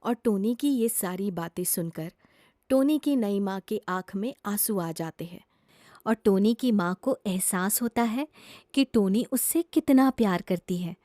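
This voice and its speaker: A woman's voice: mid-range voice, expressive, a little slow, dark tone, dry recording, studio quality. A woman narrating a scripted children's story as a storyteller.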